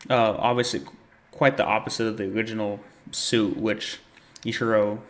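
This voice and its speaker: A man hosting a reaction video, casually commenting and reading captions aloud in a halting, thinking-aloud way. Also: fairly low pitch, level tone.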